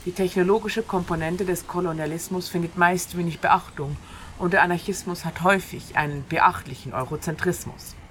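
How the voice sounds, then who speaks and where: A woman's voice: lowish voice, steady tone, fairly quick, a bit bright. A woman hosting a free radio show in an informal, conversational monologue, speaking directly to the listener. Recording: clear background noise, outdoor ambience. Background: ambience through an open window.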